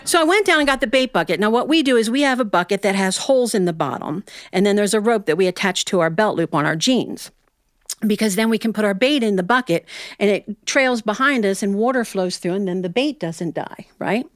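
An American woman storyteller from Ohio telling a tale live to listeners, in an animated, folksy way with dramatic pauses and asides. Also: medium pitch, dry recording, studio quality. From Ohio, United States.

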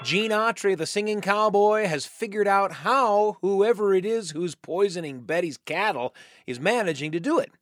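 A man's voice: high-pitched, normal speed, a bit bright, no room sound. A man hosting a radio program who introduces old radio stories in an enthusiastic, playful way. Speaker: host. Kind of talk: radio show narration.

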